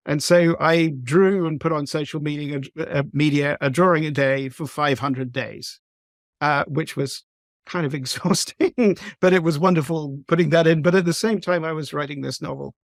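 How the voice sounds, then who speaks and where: Hesitant English man, slightly high voice. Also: steady tone, moderate pace, no room sound, clean studio sound. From east of England. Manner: thoughtful, hesitant with many ums and restarts. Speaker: guest novelist. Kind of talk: conversational interview.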